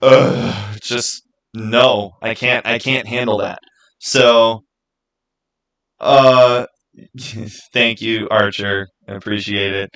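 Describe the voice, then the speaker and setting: A man hosting a livestream, chatting casually with viewers in a halting way, often repeating words and phrases as he goes. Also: lowish voice, fairly even intonation, a bit bright. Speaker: livestream host.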